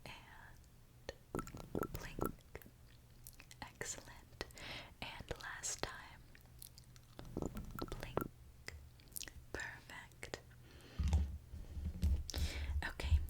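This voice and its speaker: A woman doing an ASMR roleplay as a gentle mechanic, speaking in a soft, reassuring, attentive way. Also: lowish voice, steady tone, drawn-out words, long pauses. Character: gentle mechanic repairing a robot client. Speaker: ASMR creator.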